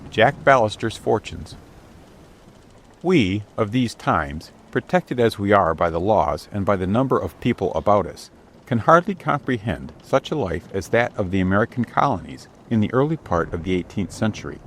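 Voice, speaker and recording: A man's voice: fairly low pitch, animated, moderate pace, slight background noise. A man narrating an old adventure storybook in a scripted, dramatic reading style. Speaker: audiobook narrator. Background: outdoor ship ambience.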